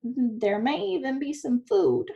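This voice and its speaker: A woman's voice: sits high, expressive, slow pace, creaky, warm tone, muffled recording, exaggerated voice. A woman voicing a cheerful male donkey who brays and leads the others eagerly. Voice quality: braying. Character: loud braying male donkey, cheerful leader.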